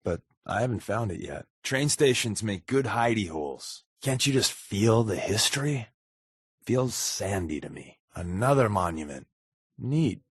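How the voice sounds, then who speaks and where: Wisecracking undercover agent, game companion, slightly low male voice, rough-edged husk, deadpan, sarcastic, conversational. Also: measured pace, no room sound, clean studio sound, full character voice. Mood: wry, sarcastic. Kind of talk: video game companion dialogue.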